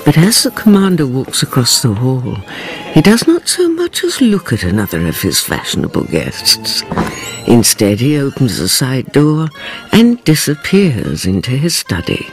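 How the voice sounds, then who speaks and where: An actress playing a grave, haunted woman who narrates a radio drama in dramatic, deliberate, weighty phrases. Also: extremely low pitch, wildly swooping pitch, faint music behind, exaggerated voice. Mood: watchful.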